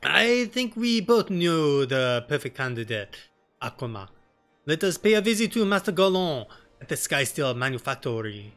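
A man's voice: fairly high pitch, sing-song, moderate pace, a bit bright. A man voicing an earnest, articulate young nobleman in a fantasy game, speaking precisely. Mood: earnest, determined. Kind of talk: fantasy game dialogue reading. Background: game music.

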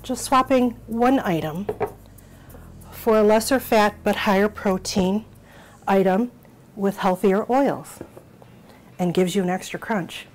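A middle-aged woman hosting a cooking show from a small home kitchen, explaining each step in an encouraging, unhurried way. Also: mid-range voice, darkish tone, slight background noise, rough recording.

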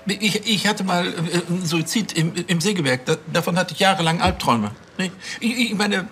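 A man's voice: high-pitched, brisk, fairly bright tone, a little hiss or hum. An actor playing a flustered, fussy older police chief in a TV crime comedy, stammering and repeating his words.

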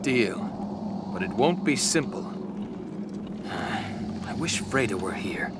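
An actor playing an earnest, determined and loyal human knight in a video game cutscene. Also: male voice, lowish voice, lively intonation, heavy background noise. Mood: grave. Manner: earnest, dramatic, deliberate. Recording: loud music behind the voice. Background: loud game music.

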